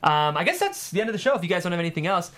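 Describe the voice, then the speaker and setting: A man's voice: sits high, big pitch swings, rapid-fire, a bit bright. A man hosting a casual panel discussion, chatty and conversational, often saying "like". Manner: chatty, frequent "like". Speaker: host and screenwriter.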